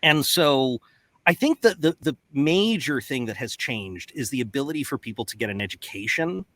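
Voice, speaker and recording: A man's voice: medium pitch, normal speed, no room sound. A man chatting casually and expressively as a voice actor on a panel. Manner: animated, expressive. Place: home recording booth, on a video call. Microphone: close studio microphone.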